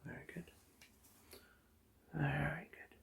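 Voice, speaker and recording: A person whispering softly in an ASMR eye doctor roleplay, giving gentle, polite, reassuring instructions in a breathy voice. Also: medium pitch, fairly even intonation, slow pace.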